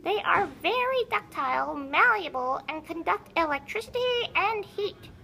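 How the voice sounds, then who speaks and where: A very high, bright voice from a woman playing a conceited old puppet teacher. The delivery is haughty and easily exasperated, lecturing and scolding in an animated puppet-show style, with faint noise in the recording. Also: moderate pace, vocal fry, full character voice. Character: grumpy, conceited old talking periodic table puppet, impatient teacher. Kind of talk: educational puppet show skit.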